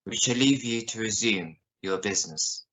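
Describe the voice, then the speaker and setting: A man playing a grave television news interviewer in an amateur radio play, formal and measured. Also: lowish voice, fairly bright tone, lightly acted, poor audio. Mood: solemn.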